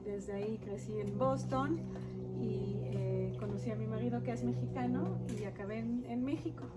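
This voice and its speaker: A woman author from Israel with an Israeli accent, talking casually in an interview and pausing to search for words. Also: fairly high pitch, over loud music. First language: Hebrew. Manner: halting, searching for words in Spanish. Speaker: author guest. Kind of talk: conversational interview. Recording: noisy background.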